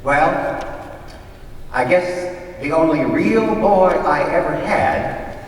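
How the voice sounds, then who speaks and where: A man telling folksy stories in a conversational, rambling way, pausing as he goes, in a staged reading. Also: fairly high pitch, expressive. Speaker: readers theater performer. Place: large hall, live stage reading. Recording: echoey hall from far off, faint noise.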